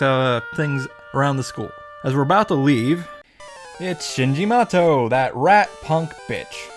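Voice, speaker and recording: A man hosting a visual novel reaction summary, narrating casually and comedically with sarcastic, sweary commentary. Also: a little high. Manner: sarcastic, profane. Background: music.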